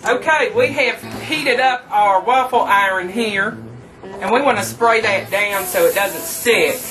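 A man's voice: sits high, a little slow. A man playing a campy, thrifty trailer park queen, giving a folksy, tongue-in-cheek home cooking demonstration. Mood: folksy, cheerful instructing. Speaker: cooking show host. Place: small home kitchen. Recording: distant camera microphone, music behind.

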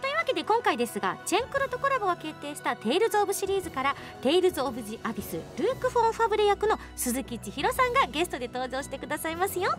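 A woman's voice: very high voice, sing-song, racing, few pauses, music in the background. A woman hosting a radio show, moving between light chat and program announcements.

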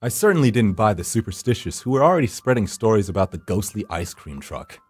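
A male actor plays a secretive hired finder from the edge of death. His voice is low and moves around a lot, dry and theatrical as he narrates a horror game. Faint music sits behind him. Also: sing-song, fast talker, a little mellow, slightly rough. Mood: dry, sardonic. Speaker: narrator and lead character. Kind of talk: first-person horror visual novel narration. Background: background music.

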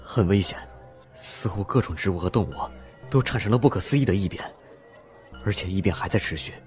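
A man's voice: deep voice, lively intonation, a little fast, soft background music. An actor playing an anxious, wary young male student surviving a disaster in an audio drama.

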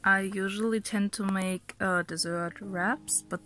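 A woman's voice: mid-range voice, steady tone, unhurried, bright, forceful tone, faint music behind. Chatty young woman full of fillers. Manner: chatty, hesitant, many fillers. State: sounds sick. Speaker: vlogger. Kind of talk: casual talk to camera and food voice-over. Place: small bedroom, indoors.

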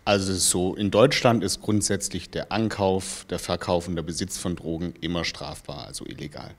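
A man in his thirties giving measured, matter-of-fact expert answers in an interview, into a handheld microphone. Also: low voice, steady tone. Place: small indoor information room with bookshelves. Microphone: handheld microphone held out to him.

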